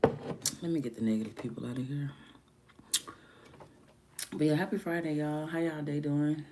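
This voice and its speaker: A woman chatting casually on a morning livestream from home, her voice deeper than usual from just waking up, rambling and drifting between soft murmurs and livelier bursts. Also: fairly even intonation, normal speed, noisy background. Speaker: livestreamer and music artist.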